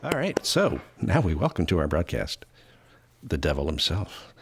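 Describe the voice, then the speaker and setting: Low-voiced man hosting a casual chat. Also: sing-song, creaky, heavy background noise. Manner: halting, chuckling storyteller. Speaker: host. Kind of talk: casual round-table chat.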